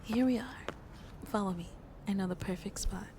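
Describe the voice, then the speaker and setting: A woman playing a shy but playfully flirty vampire on a date in an ASMR roleplay, speaking softly and intimately and often slipping into breathy whispers. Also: mid-range voice, normal speed, a little hiss or hum, lightly acted. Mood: romantic, teasing.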